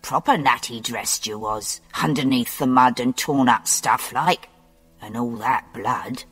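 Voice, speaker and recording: A woman's voice: rumbling low, a little slow, a bit bright, husky, pitched below the performer's own voice, heavily acted. A woman voicing a cheerful, patient male hospital orderly, chatty and good-humoured in an audiobook. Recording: long reverb with faint background music.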